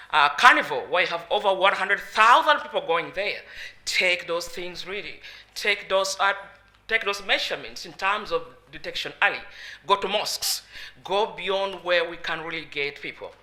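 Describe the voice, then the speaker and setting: A woman speaking as a public governance advisor at a conference, with a loud and emphatic way of talking. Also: lowish voice. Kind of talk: conference talk. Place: conference hall.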